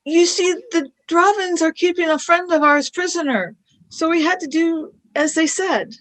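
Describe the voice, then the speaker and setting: A woman acting a feisty, nervous young girl time traveler in a radio play, earnest and excitable. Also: very high-pitched, normal speed, low-quality recording.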